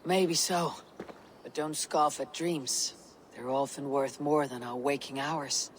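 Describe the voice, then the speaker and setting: A woman playing a calm Viking warrior leader in a video game, with a low husky voice and a measured, stately manner. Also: a little hiss or hum. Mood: wry, measured. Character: calm, steady Viking warrior woman leading her clan. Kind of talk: dramatic video game acting.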